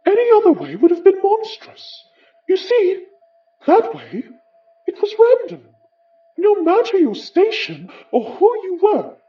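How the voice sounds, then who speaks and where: Bitter aristocratic British spectre, horror podcast. High register, very animated pitch, deliberate formal diction. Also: female voice, far from the mic, highs cut off, heavily acted. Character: haughty, bitter aristocratic ghost of an Edwardian occultist. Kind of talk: horror audio drama acting.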